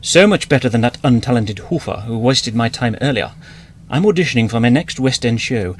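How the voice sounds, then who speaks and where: Man playing a pompous theatre director, very animated pitch. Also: brisk, a little hiss or hum, lightly acted. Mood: excited. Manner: pompous, emphatic. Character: pompous, blunt male theatre company director. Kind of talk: radio comedy acting.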